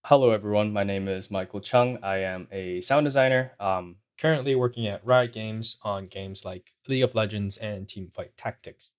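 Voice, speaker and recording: A young man from China, a podcast guest with a fairly low voice. He tells his story in a measured, thoughtful way that drops in volume at the ends of phrases. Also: clear, resonant tone. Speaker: guest, sound designer. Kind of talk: interview answers.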